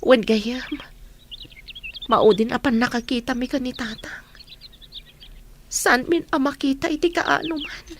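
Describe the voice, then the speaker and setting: A woman's voice: high-pitched, steady tone, unhurried, pauses often, a little hiss or hum. A woman acting a kind, grateful young woman and caring older sister in a radio comedy drama. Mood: earnest.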